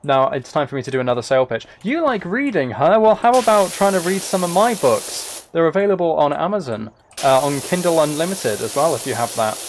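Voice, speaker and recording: A soft-spoken man livestreaming, chatting casually to viewers while he plays a game. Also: fairly high pitch, lively intonation, a little fast, few pauses. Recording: clear background noise, spraying game sounds. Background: game ambience and water spray.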